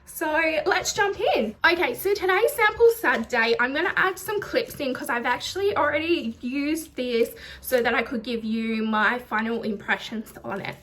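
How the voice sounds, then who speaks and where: A high-voiced woman chats through a casual product review vlog, with plenty of ums and likes. She sounds slightly nasal from a recent chest infection. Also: moderate pace, few pauses, a bit bright. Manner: chatty, lots of ums and likes. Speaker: beauty vlogger.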